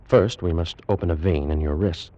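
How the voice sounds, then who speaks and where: An actor playing a proud, fervent ancient Egyptian priest and alchemist in a grave, theatrical 1940s radio drama style. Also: male voice, low voice, normal speed, dark tone, no room sound, lightly acted. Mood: solemn, assured. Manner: grave, formal, theatrical delivery. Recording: muffled old-time radio recording.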